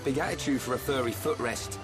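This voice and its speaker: An actor playing a blunt, skeptical young fighter in a video game, casual and sarcastic. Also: male voice, mid-range voice, fairly quick, over music, lightly acted. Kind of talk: video game cutscene acting.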